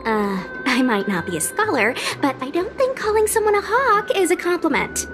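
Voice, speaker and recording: A woman's voice: very high-pitched, big pitch swings, normal speed, music in the background. A woman voicing a sassy, skeptical young girl in an anime game, frank and teasing in manner. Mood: frank, questioning. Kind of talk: anime game dialogue acting.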